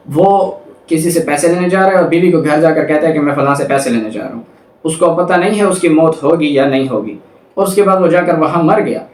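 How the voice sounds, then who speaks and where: A man's voice: fairly high pitch, a little mellow. A man with a Pakistani accent giving a methodical law lecture, reading clauses aloud and explaining them. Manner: methodical, didactic, explains with everyday examples. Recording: echoey room, distant microphone.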